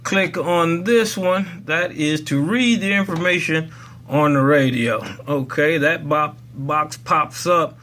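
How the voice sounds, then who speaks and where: A man's voice: fairly high pitch, takes it slowly, a bit bright, slight background noise. A man giving a casual, unscripted tutorial in a folksy, rambling way, thinking aloud as he goes. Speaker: presenter of a software tutorial. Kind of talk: casual unscripted how-to walkthrough.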